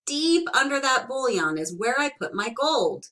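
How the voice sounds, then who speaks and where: Lady doing a squeaky sly little old leprechaun man, scolding and wheedling, bright voice. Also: big pitch swings, a little slow, heavily acted. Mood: grudging. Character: tiny sly old male leprechaun shoemaker.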